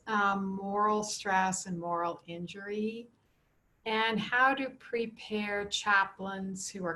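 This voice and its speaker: An older woman from Canada, a professor and program director introducing herself on a video call, speaking earnestly and in measured lists. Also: medium pitch, a bit bright, a little hiss or hum. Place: home room, plain wall. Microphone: headset mic.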